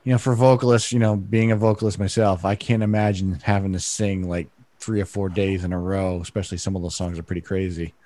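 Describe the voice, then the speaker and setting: A man co-hosting a casual interview over a video call, chatty and quick to agree. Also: low voice, flat delivery, warm tone. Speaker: podcast co-host.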